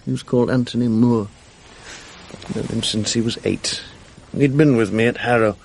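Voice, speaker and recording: A man's voice: mid-range voice, steady tone, moderate pace, gravelly, darkish tone, natural voice, low-quality recording. An actor playing a world-weary, middle-aged upper-class Englishman in a radio drama, with an English accent and a wry, urbane, self-mocking manner. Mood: sombre. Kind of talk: 1980s British radio drama acting, intimate naturalistic dialogue. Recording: faint noise on archive radio recording.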